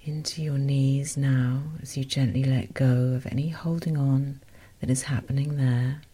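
A woman softly guiding a meditation as host, in a gentle, unhurried, breathy voice that often nears a whisper. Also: rumbling low, fairly even intonation. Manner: gentle, unhurried, softly spoken with short phrases. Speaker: meditation guide and podcast host. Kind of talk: guided meditation, soothing instruction.

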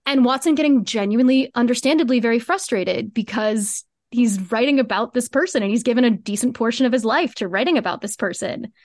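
A woman's voice: a little high, fairly quick, few pauses, a little mellow, dry recording, studio quality. A woman giving earnest, reflective answers at length as a guest in a conversational podcast interview. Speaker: podcast guest, co-creator of a fiction podcast.

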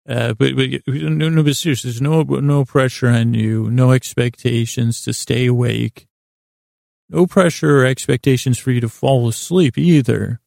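A man's voice: fairly even intonation, moderate pace, a little mellow, dry recording, clean studio sound. A man hosting a bedtime podcast in a rambling, drowsy drone, stammering and circling through repeated false starts. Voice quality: gravelly, creaky. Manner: drowsy, droning, low-key. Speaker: podcast host.

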